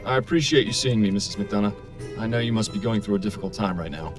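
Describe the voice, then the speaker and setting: A courteous, persistent detective, played by a man in a video game. His low voice moves quickly through his questions, staying measured and polite. Game music plays clearly in the background. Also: natural voice.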